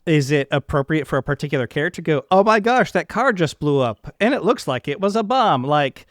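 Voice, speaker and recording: A man hosting a podcast interview in a conversational style, with an animated way of talking that slips into imagined quotes. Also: on the high side, brisk, dry recording, clean studio sound.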